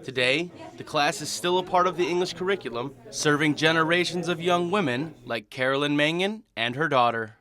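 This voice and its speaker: A man's voice: fairly high pitch, a little fast, few pauses, pushed and bright. A man with an American accent reading scripted narration as a television news reporter.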